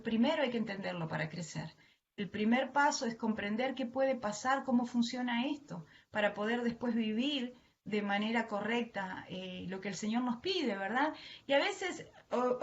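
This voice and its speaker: A woman with an Argentine Spanish accent giving a devotional teaching to other women, addressing her listeners earnestly and affectionately. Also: a little high. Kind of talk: devotional sermon to an online audience. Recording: dull lo-fi online audio, faint noise.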